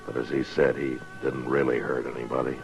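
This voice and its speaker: An actor playing a laconic, steady Old West lawman in a radio drama, terse, calm and courteous. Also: very deep voice, brisk, gravelly, over music. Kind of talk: 1950s radio western acting.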